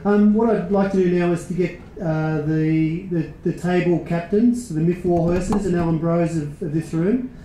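A middle-aged man with an Australian accent facilitating a workshop, relaxed and informal with lots of fillers, speaking into a lectern mic in a conference room. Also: high-pitched, moderate pace, few pauses, echoey room, slight background noise, rough recording.

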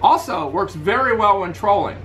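A man's voice: high-pitched, moderate pace, a little hiss or hum. A middle-aged man in his living room, talking casually to a webcam as he holds up and reviews his favorite fishing lures. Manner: relaxed, practical, shows lures to camera. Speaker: fishing channel host. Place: indoors, living room at home.

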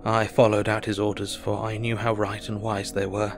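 A man plays a weary Victorian doctor reading his diary in an audio drama. His voice is lowish, with measured, formal and reflective delivery over clear music. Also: completely flat delivery, lightly acted. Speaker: actor.

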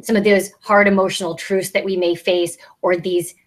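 A woman's voice: mid-range voice, flat delivery, moderate pace. A woman in her thirties speaking as a podcast panelist in a casual discussion, on an earbud mic over a video call from a small room. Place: small plain room, indoors. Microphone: earbud headset on a video call.

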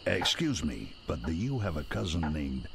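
An actor playing a shady, gruff street peddler in a video game, with a terse, sly sales manner. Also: male voice, a bass voice, very animated, normal speed, background noise, exaggerated voice.

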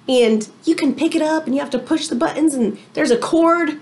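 A middle-aged woman, an elementary teacher, reading aloud to young children with expressive, chatty asides. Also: high-pitched, normal speed. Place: small indoor room.

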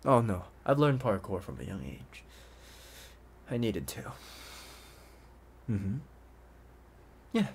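Man acting a slow-talking, possessive, doting male intruder. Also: fairly low pitch, sing-song, creaky, slight background noise, heavily acted. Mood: casual, doting. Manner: coaxing, hesitant pauses, hushed asides. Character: obsessive young male intruder, possessive, doting, playful owner. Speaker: ASMR roleplay voice actor. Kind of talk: ASMR roleplay, speaking to the listener.

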